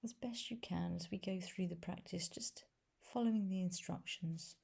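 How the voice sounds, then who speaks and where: Low, husky woman guiding meditation softly. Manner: soft, gentle, breathy delivery with long pauses. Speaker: meditation teacher. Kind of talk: guided body scan meditation.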